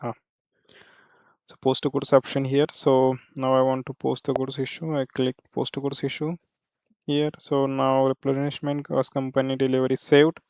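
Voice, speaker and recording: A man working as a software trainer, explaining a screen walkthrough step by step in a halting way with repeated check-in tags. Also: mid-range voice, completely flat delivery, no echo.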